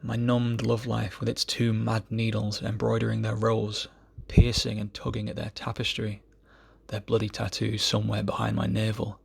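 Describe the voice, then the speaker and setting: A man giving an amateur poetry reading in a solemn style, with measured, deliberate phrasing. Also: on the low side, monotone, vocal fry, breathy edge.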